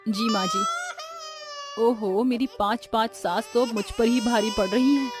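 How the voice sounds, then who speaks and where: Harried, high-voiced young daughter-in-law played by a woman. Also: fairly even intonation, music in the background, close to an everyday voice. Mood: obliging, harried. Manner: obliging, hurried.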